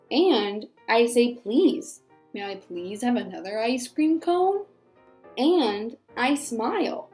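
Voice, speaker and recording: A woman reading a picture book as a rueful, reformed little bad seed, with theatrical, drawn-out delivery. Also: a little high, a little mellow. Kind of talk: expressive children's picture-book read-aloud.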